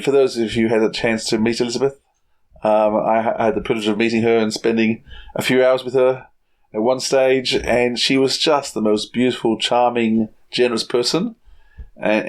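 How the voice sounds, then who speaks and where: A man's voice: medium pitch, steady tone, moderate pace, rough recording. Casting: male co-host, middle-aged, mid-range voice, informal chat. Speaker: podcast co-host. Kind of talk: casual podcast chat.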